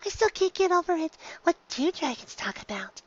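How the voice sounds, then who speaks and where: A woman voicing a timid young female pegasus pony, speaking in a breathy near-whisper that trails off, on a dull lo-fi recording. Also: very high voice, big pitch swings, a little fast, no room sound, voice pushed up. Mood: softly thrilled. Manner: hesitant, trailing off, then gushing softly.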